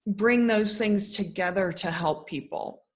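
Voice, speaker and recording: A woman's voice: mid-range voice, measured pace. A woman hosting an interview, asking a guest about her work with friendly enthusiasm. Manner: friendly, eager questions. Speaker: interview host, dietitian.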